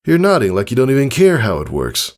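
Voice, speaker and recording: A caring father pegasus from a storybook, acted out by a man, patiently coaching his child. His delivery is earnest and instructive, with pitch that moves freely and sits above the reader's own low register. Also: huge pitch swings, brisk. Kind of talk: dramatized storybook character reading.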